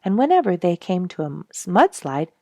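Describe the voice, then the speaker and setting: A woman with a low, slightly gravelly voice reads a children's story aloud. Her delivery is expressive and highly varied in pitch, with emphasis on playful words. The sound is close and dry. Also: a little fast, no room sound. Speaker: storybook reader and host.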